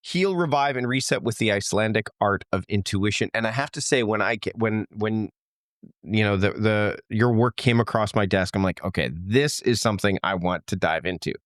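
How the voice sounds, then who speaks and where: A man hosting a podcast interview, friendly and eager, sometimes halting over his words as he asks questions. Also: fairly low pitch, no echo, clean studio sound. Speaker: podcast host.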